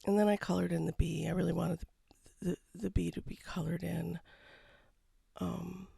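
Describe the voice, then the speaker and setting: An artist talking casually over a painting time-lapse, with a froggy voice from a cold and a low-key, rambling manner. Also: flat delivery, normal speed, vocal fry, warm tone, breathy edge, dry recording, clean studio sound. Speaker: watercolor artist. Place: home studio.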